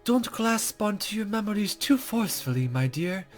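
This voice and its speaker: A man's voice: high voice, big pitch swings, moderate pace, soft background music, voice pushed up. A man voicing a coy, teasing woman rescuer in a visual novel, with playfully doting, formal, flowery speech. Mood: coy concern.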